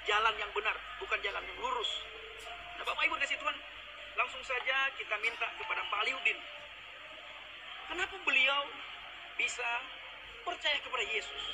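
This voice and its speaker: A man's voice: very high-pitched, normal speed, pauses often, background noise. A man from Aceh preaching emphatically, giving a religious testimony to viewers. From Aceh, Indonesia. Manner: emphatic, declaiming. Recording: loud music underneath.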